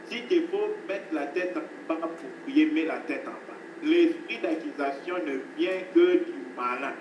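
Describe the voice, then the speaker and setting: A man's voice: fairly high pitch, measured pace. Preaching man in an echoey hall. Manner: emphatic preaching, repeats phrases, addresses listeners as beloved. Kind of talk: sermon. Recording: echoey, distant, noisy hall recording.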